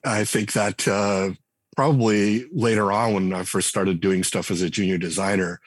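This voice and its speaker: A middle-aged man, a game writer and designer, talking casually in an interview and telling relaxed stories with frequent restarts. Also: lowish voice, normal speed, breathy edge, dry recording. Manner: relaxed storyteller, many restarts and fillers.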